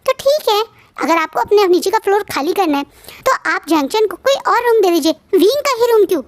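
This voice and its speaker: A woman's voice: extremely high pitch, big pitch swings, a little fast, no room sound, voice pushed up. A woman voicing a protective mother who keeps asking questions to defend her son. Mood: pleading.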